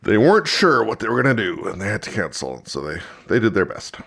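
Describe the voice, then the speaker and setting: A man's voice: fairly low pitch, very animated, fairly quick, gravelly, fairly bright tone. A man co-hosting a podcast, chatting casually with dry, quibbling humour. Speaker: podcast co-host. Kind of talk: casual commentary chat.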